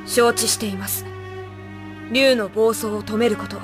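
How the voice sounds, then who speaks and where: A woman playing a dutiful schoolgirl shrine maiden, polite and hushed, in an anime audio drama. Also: a little high, fairly even intonation, a little slow, over music, natural voice. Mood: subdued, serious.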